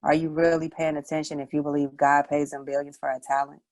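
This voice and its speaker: Woman, low gravelly voice, spoken word poet, even intonation, dry sound. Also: fast, no room sound. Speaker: poet, showcase participant. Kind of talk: spoken word poetry reading.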